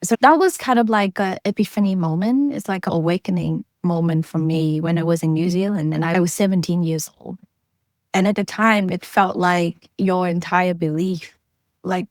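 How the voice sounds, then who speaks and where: Vietnamese-accented woman, lowish voice, dry sound. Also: moderate pace, no echo. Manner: earnest, reflective, frequent "like" fillers. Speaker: guest, journalist and researcher. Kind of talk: personal storytelling in an interview.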